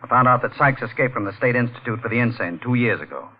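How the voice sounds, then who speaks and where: An actor playing an assured police detective laying out a case in 1940s radio drama style. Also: male voice, medium pitch, fast talker, natural voice. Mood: assured, stern. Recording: muffled, husky-sounding old radio recording.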